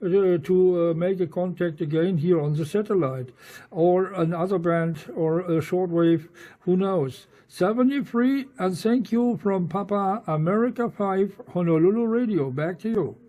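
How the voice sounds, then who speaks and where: A non-native English-speaking man, an amateur radio operator, chatting in a halting, hesitant way. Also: sits high, mellow. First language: not English. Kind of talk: casual radio chat. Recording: muffled, narrow-band radio audio with hiss.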